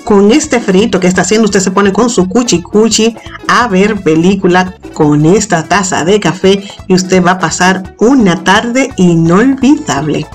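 A woman's voice: medium pitch, a little slow, soft background music. A middle-aged woman hosting a home cooking show, talking playfully through a recipe and joking with her viewers. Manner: playful, jokey, affectionate nicknames for viewers. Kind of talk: playful recipe voice-over and tasting. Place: home kitchen.

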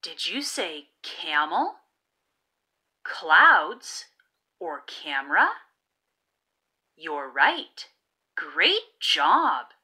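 A woman reading a picture book aloud for young children, expressive and deliberately enunciated, as the host of a read-aloud. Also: low voice, slightly rough.

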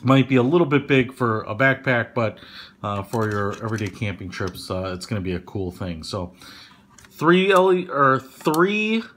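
A young man talks loosely through an unboxing at a middle pitch, full of ums and slangy asides. He films it close on a handheld camera in a small room, with faint noise behind him. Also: animated, normal speed, fairly bright tone. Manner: rambling, lots of fillers, casual slang. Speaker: product reviewer. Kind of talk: casual unboxing review.

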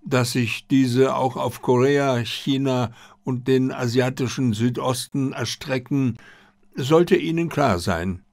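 Storybook old army general, acted by a male reader: proud, formal, stiff, pitched up, very dry sound. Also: slow pace, darkish tone, no room sound. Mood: stern, resolute.

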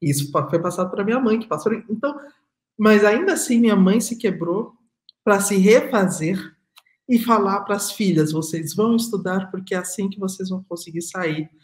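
A woman's voice: mid-range voice, normal speed, darkish tone. A middle-aged woman artist speaking in a panel discussion over a video call, reflective and emphatic, repeating her key phrases. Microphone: video call webcam.

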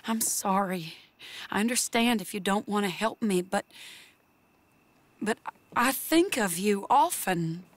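Anxious, pleading young gentlewoman. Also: medium pitch, expressive, no room sound. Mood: soft pleading. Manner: earnest, halting, pleading. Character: anxious, genteel young woman pleading with old flame. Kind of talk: dramatic video game cutscene acting.

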